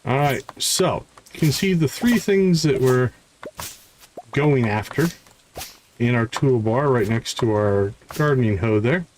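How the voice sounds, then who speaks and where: Friendly guy streaming a farming game, medium pitch, chatting to viewers, faint noise n clicks behind. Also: expressive, a little slow, a little mellow, rough recording. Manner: friendly, greets viewers by name. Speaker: livestream host playing a farming game. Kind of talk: casual live game commentary, chatting with viewers.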